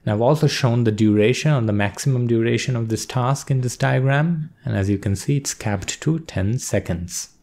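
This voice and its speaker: A male instructor calmly explaining a coding task step by step in a screen-recorded lesson. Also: mid-range voice, moderate pace, few pauses. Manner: steady explanatory pace, walks through steps. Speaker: course instructor.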